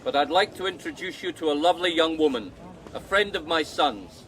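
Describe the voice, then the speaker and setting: A man's voice: on the high side, animated, brisk, fairly bright tone, rough recording. An actor playing a politician and father giving a formal speech at a vigil in a radio drama. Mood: solemn, formal. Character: smooth male politician and father addressing a vigil.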